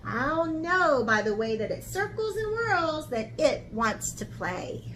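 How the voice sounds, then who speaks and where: Lady with a highish voice doing a kids art class and storytime, really expressive and encouraging, noticeable noise behind her. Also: slow, low-quality recording. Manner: expressive, encouraging talk aimed at young children, with dramatic gasps. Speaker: art camp teacher. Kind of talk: children's art lesson and picture book read-aloud.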